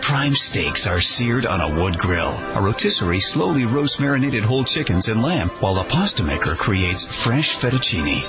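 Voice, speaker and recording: A man's voice: on the low side, sing-song, moderate pace, hardly any pauses. A man narrating a scripted radio advertisement as an announcer. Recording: dull lo-fi radio recording. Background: background music.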